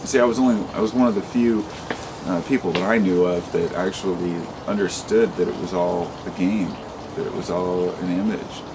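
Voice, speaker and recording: A man in his late thirties speaks in a deep, low voice, rambling and impassioned as he vents in an unscripted vlog. He swears freely and often restarts his sentences. Steady traffic noise runs clearly behind him. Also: a little fast. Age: 30s. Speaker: vlogger. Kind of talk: unscripted monologue to camera.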